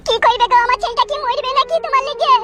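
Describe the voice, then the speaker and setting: A woman voicing a frantic, pleading cartoon wife and mother, shrill and agitated. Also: rapid-fire. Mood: bitter pleading. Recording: loud music behind voice.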